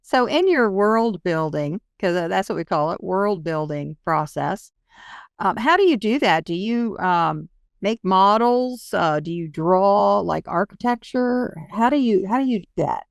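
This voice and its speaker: Creaky-voiced woman interviewer, dry sound. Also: medium pitch, lively intonation, a little slow, no room sound. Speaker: interview host. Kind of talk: conversational interviewing.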